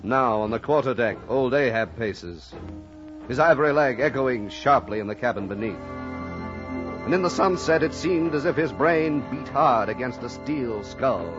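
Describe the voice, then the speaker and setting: An actor playing a solemn storyteller, narrating a seafaring radio drama with rhetorical, literary declamation. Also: male voice, medium pitch, lively intonation, normal speed, lightly acted. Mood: brooding. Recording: dull vintage radio recording, music behind.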